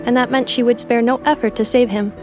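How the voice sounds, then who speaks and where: A woman playing a composed, devoted robot companion in a video game, speaking in a formal, measured and precise way. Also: a little high, steady tone, close to an everyday voice. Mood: sincere, devoted. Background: music.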